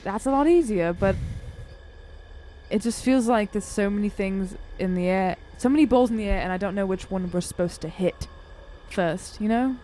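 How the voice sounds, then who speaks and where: A woman's voice: medium pitch, moderate pace, mellow, a little hiss or hum. A woman playing a tabletop role-playing game, chatting casually and in character with informal phrasing. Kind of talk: casual improvised in-character chat.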